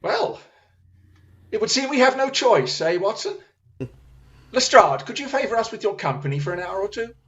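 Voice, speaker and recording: A man acting a brilliant, brisk detective in a radio play, sardonic and intense, on slightly dull audio. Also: sits high, big pitch swings, fairly bright tone. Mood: brisk, decisive.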